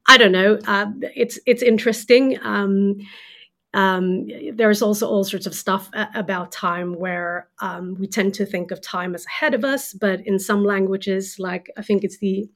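A woman's voice: mid-range voice, steady tone, unhurried, fairly bright tone. A woman from Sweden, a native Swedish speaker, explaining ideas as a guest author in a casual podcast chat with frequent fillers. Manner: thoughtful, explains with hesitant fillers.

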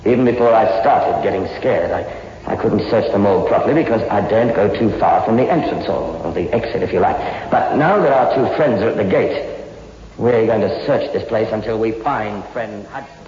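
A man's voice: on the low side, fairly quick, a little mellow, lightly acted. An actor playing a frightened, frantic man hunting a killer in a morgue, stammering and agitated, in a 1960s radio horror style. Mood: urgent. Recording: dull, noisy old radio recording, echoey hall.

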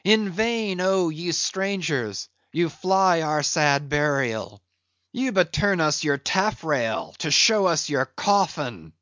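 A man's voice: on the high side, very animated, takes it slowly, pushed and bright, no room sound, highs cut off, pitched above the performer's own voice, exaggerated voice. A man voicing a grieving, bitter whaling captain in an audiobook, with solemn, archaic, declamatory delivery. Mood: bitter, foreboding.

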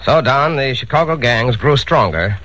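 Animated male radio crime narrator. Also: medium pitch, moderate pace, poor audio. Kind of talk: 1940s radio crime drama narration, scripted. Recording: old radio broadcast with faint noise.

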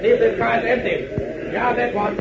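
An actor playing a brusque German soldier giving curt orders in a 1940s radio drama. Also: male voice, very high-pitched, fairly even intonation, moderate pace, gravelly. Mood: commanding. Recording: muffled, noisy 1940s radio recording.

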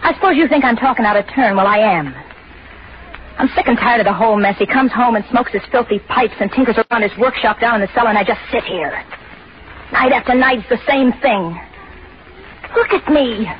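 An actress playing a bored, bitter and seductive American housewife, sardonic and blunt, in a 1940s radio drama. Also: female voice, on the high side, brisk, lightly acted. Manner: sardonic, blunt, world-weary. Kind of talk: 1940s radio noir acting. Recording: noisy, muffled old 1940s radio recording.

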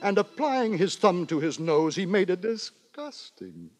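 An actor playing an earnest, moralizing gentleman writer who narrates in florid, theatrical style in a 1950s radio drama. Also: high-pitched, very animated, unhurried, no room sound. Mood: bemused narrating. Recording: 1950s radio broadcast with music.

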